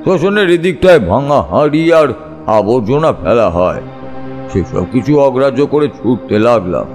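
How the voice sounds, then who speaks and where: A man narrating a scripted first-person ghost story in a suspenseful, measured storytelling manner. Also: medium pitch, sing-song, a little mellow. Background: background music.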